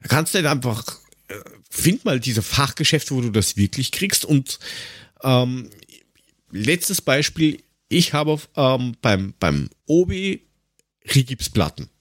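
Austrian male podcast co-host, blunt grumbling anecdotes, colloquial, average pitch, very dry recording. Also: big pitch swings, takes it slowly, no room sound. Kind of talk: casual chat with anecdotes.

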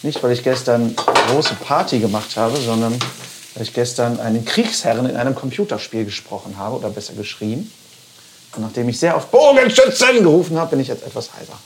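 A woman hosting a casual cooking chat, slightly hoarse today, chatty and self-mocking. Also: extremely low pitch, expressive, brisk. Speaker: podcast host. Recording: noisy kitchen with frying.

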